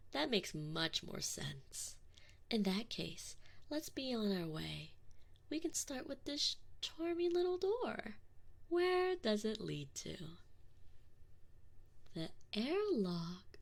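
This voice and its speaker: Woman acting a slow, taunting sadistic alien enforcer. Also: sits high, very animated, heavily acted. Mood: mock-sweet taunting. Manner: slow, mock-sweet, taunting, often dropping into breathy whispers. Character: sadistic, mock-polite female alien enforcer, possessive and gloating. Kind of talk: ASMR roleplay acting. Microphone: close binaural microphone.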